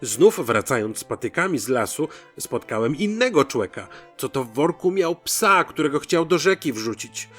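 A man's voice: medium pitch, big pitch swings, moderate pace, few pauses, soft background music. A man voicing a humble, naive peasant in a folk tale, rambling hesitantly in folksy speech with little hums. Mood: earnest, rueful. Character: humble, naive young peasant man pleading his story.

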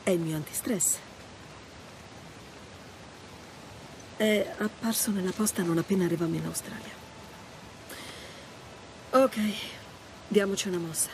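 A woman's voice: on the low side, moderate pace, pauses often, slight background noise, close to an everyday voice, poor audio. An actress playing a wry, adventurous treasure hunter in her thirties, teasing and understated, in naturalistic video game dialogue. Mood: curious, wry.

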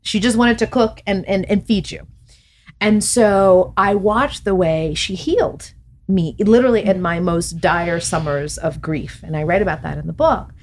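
A woman's voice: medium pitch, normal speed, a little hiss or hum. A middle-aged woman, a podcast guest and author, talking reflectively in a studio interview, gesturing as she speaks and laughing often. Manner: thoughtful, animated hand gestures, laughs often. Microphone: studio mic on boom arm.

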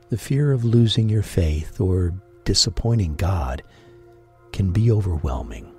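A man guiding a sleep meditation in a gentle, deliberate, breathy voice with long pauses. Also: lowish voice. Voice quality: husky, breathy. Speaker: meditation guide and host. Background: music.

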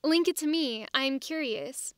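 A woman voicing a bubbly, energetic, curious teenage girl in an audiobook drama. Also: sits high, a little slow, no room sound. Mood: curious, chatty. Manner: exclamatory, chirpy.

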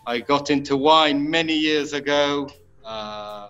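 Male winemaker, thirties, from Israel. Deliberate, stop-start explainer, lo-fi call sound with music underneath. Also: on the high side, fairly even intonation, fairly bright tone, clean tone. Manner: halting, pausing and repeating words. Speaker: winemaker, guest. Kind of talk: informal virtual tour explanation. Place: underground stone wine cave. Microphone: phone or laptop at arm's length. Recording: dull lo-fi video-call audio, music behind.

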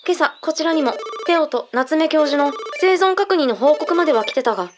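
An actress playing a calm intelligence colleague in an audio drama, measured and matter-of-fact, with blunt masculine phrasing. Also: female voice, high voice, background noise. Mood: composed, serious. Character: calm intelligence colleague briefing secret findings.

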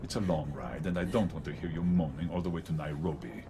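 An actor playing a smug, murderous middle-aged police commissioner in a sci-fi audio drama, unctuous and menacing. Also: male voice, extremely low pitch, fast, crowd noise. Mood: smug, gloating. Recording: video game audio, loud noisy background.

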